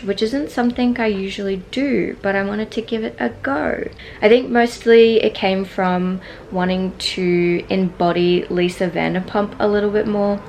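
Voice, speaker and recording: A woman's voice: mid-range voice, vocal fry, a little hiss or hum. Woman, young adult, Australian accent, casual vlog narration, easygoing, filler-heavy. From Singapore. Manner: relaxed, chatty, many "like" fillers. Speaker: vlogger.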